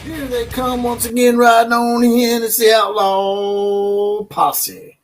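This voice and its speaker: A man's voice: very high voice, level tone, slow, faint music behind. A man hosting a college football commentary channel, ranting with crude, mocking opinions and frequent fillers and restarts.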